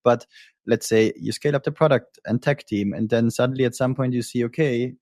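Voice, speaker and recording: A man hosting a podcast interview, speaking in a measured, reflective way with frequent ums. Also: mid-range voice, darkish tone, no echo, clean studio sound. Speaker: podcast host. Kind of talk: conversational interview.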